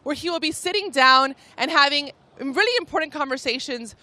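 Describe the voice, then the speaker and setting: Live TV host, woman, high and bright, enthusiastic presenter. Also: lively intonation, brisk. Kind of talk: live broadcast hosting.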